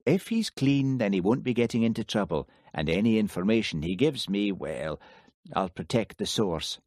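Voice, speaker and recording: A man reading aloud as a patient, persistent police constable who stays calm. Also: lowish voice, normal speed, no room sound, studio quality.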